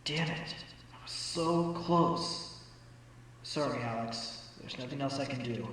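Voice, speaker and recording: A man's voice: medium pitch, fairly bright tone, a little hiss or hum, poor audio. A man voicing an earnest, determined fox defense attorney in a courtroom audio drama, arguing with emphasis. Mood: defeated. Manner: emphatic, argumentative, dramatic pauses.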